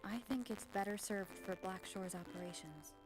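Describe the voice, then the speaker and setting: A woman playing a calm, thoughtful young heroine in a video game, reserved and matter-of-fact. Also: mid-range voice, normal speed, loud music behind the voice, lightly acted. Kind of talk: video game story dialogue.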